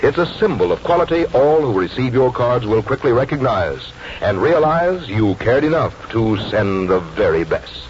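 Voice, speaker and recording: A man's voice: medium pitch, big pitch swings, moderate pace, hardly any pauses, slight background noise. An American man reading formal, polished announcements and ads as a 1940s radio announcer. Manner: formal, polished sales delivery. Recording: muffled 1940s radio broadcast, music.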